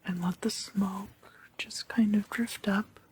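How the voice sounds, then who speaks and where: A woman hosting ASMR, whispering a guided meditation in a slow, hushed manner and softly repeating her phrases. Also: mid-range voice, steady tone, a little hiss or hum. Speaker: ASMR video host.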